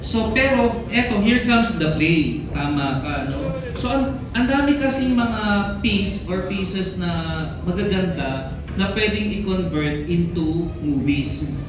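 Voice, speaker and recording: A man's voice: high-pitched, expressive, slow. Filipino guy director answering press, chatty, taglish, super echoey and noisy recording. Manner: talkative, code-switching into English, frequent tag questions. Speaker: film director and writer. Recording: very echoey room, distant mic, loud noise.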